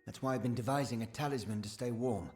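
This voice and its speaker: A man's voice: medium pitch, fast talker, a little mellow, natural voice. A man voicing an eager, self-effacing village sagecrafter in a fantasy game, earnest, hesitant and deferential. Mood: earnest, uneasy. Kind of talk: scripted game dialogue. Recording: roomy echo, background music.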